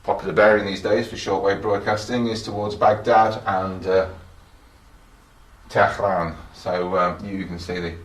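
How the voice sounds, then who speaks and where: A man's voice: low-pitched, little pitch movement, measured pace. A middle-aged or older man giving an informal guided technical tour in a matter-of-fact, explanatory way. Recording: roomy echo with faint background noise.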